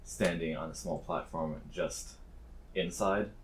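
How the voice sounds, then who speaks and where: A man's voice: deep voice, takes it slowly, slight background noise. A man narrating a tabletop fantasy game as game master, bantering dryly with his players.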